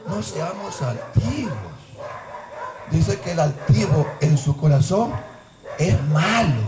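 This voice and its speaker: A fiery male preacher, his fairly high voice swinging from quiet asides to shouted verses. He is recorded in a roomy, echoing church. A congregation murmurs audibly around him. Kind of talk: sermon. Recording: echoey church with crowd noise.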